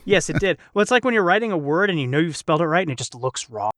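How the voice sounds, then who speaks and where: A man's voice: fairly high pitch, big pitch swings, very fast, fairly bright tone, no echo, studio quality. A man hosting a casual panel discussion in a chatty, reflective, wordy way. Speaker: podcast host and voice actor.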